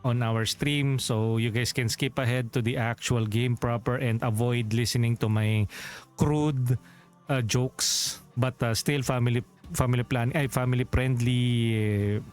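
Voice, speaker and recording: Filipino-accented male streamer, mid pitch, loose rambling chat, faint music under. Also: steady tone, unhurried, darkish tone, smooth. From Philippines. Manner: rambling, self-correcting, chuckles often. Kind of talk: casual livestream chat.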